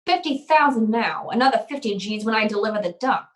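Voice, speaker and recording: A woman's voice: a little high, expressive, brisk, natural voice. A woman playing a tough hardboiled lady detective. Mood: cool bargaining. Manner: tough, terse, businesslike. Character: hardboiled, unflappable female private eye. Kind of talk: old-time radio noir acting and first-person narration.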